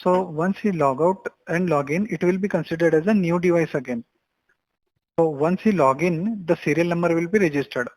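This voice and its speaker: A man with an Indian accent working as a support agent, explaining account rules in a halting, repetitive way on a call. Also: fairly high pitch, darkish tone, dry recording, poor audio.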